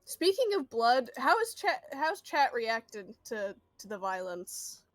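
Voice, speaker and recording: A woman's voice: on the high side, sing-song, slow, no room sound. A woman chatting casually and playfully as a player in a tabletop roleplay game.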